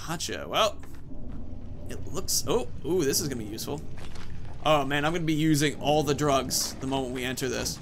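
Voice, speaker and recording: A man's voice: medium pitch, moderate pace, background noise. A man in his thirties giving casual let's-play commentary, rambling informally as he thinks aloud into a headset. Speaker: gaming video host.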